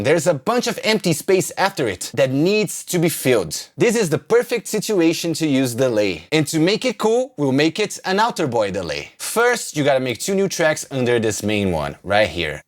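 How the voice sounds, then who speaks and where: A middle-aged man giving a casual music production tutorial in a jokey, self-deprecating, conversational way. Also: medium pitch.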